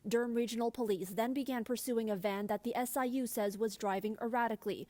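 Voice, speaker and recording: A woman news reporter with a Canadian accent and a fairly high voice. She delivers her report in broadcast news style. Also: fairly even intonation, fast talker, mellow.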